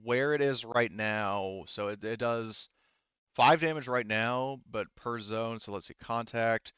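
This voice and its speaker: A man running a tabletop game as game master, explaining rules casually in a halting way and often repeating himself. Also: mid-range voice, fairly bright tone, clear, resonant tone, no room sound, lo-fi sound.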